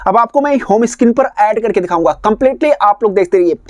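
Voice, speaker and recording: A man's voice: sits high, lively intonation, fast, no room sound. A man hosts a phone tutorial. He explains one step at a time, often repeating his instructions. The recording is dry, with very little room sound around the voice. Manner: repetitive, instructional phrasing. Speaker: tech tutorial host.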